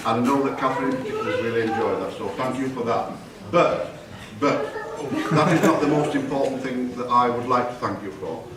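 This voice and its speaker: A man's voice: medium pitch, a little fast, hardly any pauses, a crowd in the background. An older man giving a jokey, rambling father-of-the-bride wedding speech, often correcting himself as he goes. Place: wedding reception hall. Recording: echoey hall from far off, noisy.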